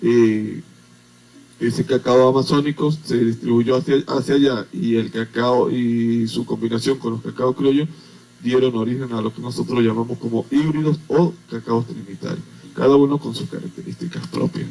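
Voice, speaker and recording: A man from Venezuela, an agronomist explaining things as a guest in a radio interview, unhurried and full of hesitations. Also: mid-range voice, creaky, mellow, low-quality recording.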